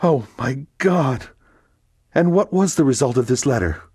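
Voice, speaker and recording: A man's voice: mid-range voice, sing-song, fast, dark tone, husky, no room sound, voice pushed up. A man voicing an anguished middle-aged noblewoman, a mother begging for her son's life in a desperate, imploring way. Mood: pleading.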